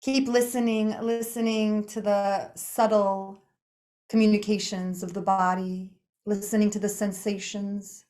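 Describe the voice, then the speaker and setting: A woman leading a guided meditation in a soothing, unhurried manner, speaking in short phrases with pauses between them. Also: medium pitch, level tone, mellow. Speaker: yoga nidra meditation guide.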